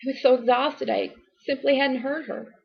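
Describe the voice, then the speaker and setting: A woman acting a distraught, abused wife and mother making a halting, emotionally strained confession in a dramatic monologue. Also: high-pitched, steady tone, creaky, highs cut off. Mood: anguished.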